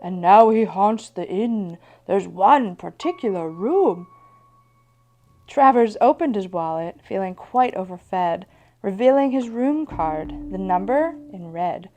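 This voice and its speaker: A woman's voice: mid-range voice, animated, slow pace, warm tone, faint music behind. A woman host narrating a rhymed ghost story in storybook style.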